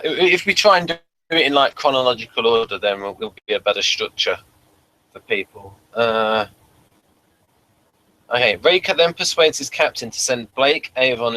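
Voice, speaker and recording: A man hosting a casual review show, talking in a halting, rambling way and reading summaries aloud. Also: mid-range voice, expressive, bright, forceful tone, poor audio. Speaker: podcast host.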